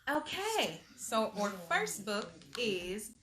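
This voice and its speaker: Woman, high voice, unhurried pace, expressive children's read-aloud, patient parental manner, some background noise. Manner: animated, patient parental asides. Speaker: storytime host reading aloud to children.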